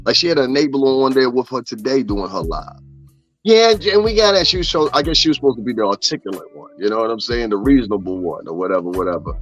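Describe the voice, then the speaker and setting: A man in the middle of his pitch range rants profanely in loose gossip commentary, circling back to "you understand what I'm saying" as he goes. The sound is a bit dull, with faint music under his voice. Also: expressive, quick pace. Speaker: commentary streamer.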